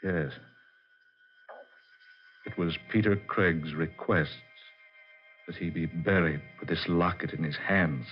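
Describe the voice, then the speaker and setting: A man's voice: deep voice, slow pace, pauses often, soft background music. An actor playing a plain-spoken, uneasy man who guides a visitor, in a 1940s radio drama style. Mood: grave. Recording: dull 1940s radio recording.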